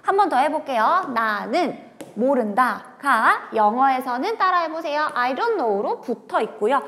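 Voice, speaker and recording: A woman's voice: high voice, big pitch swings, measured pace, a bit bright, big-room sound. A woman teaching a grammar lecture, talking straight to her students and moving from casual talk into polite speech.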